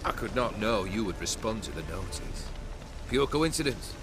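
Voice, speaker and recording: A man's voice: on the low side, big pitch swings, normal speed, over music. An actor playing a cold, haughty nobleman who leads bandits in a video game, mocking and formal in his phrasing. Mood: cold, contemptuous.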